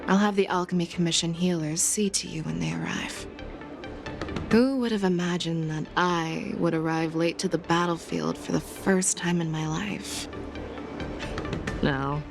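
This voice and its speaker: A woman playing a composed, commanding general who is kindly toward juniors, measured and gracious, in a video game cutscene. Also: fairly low pitch, creaky. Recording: music over the voice.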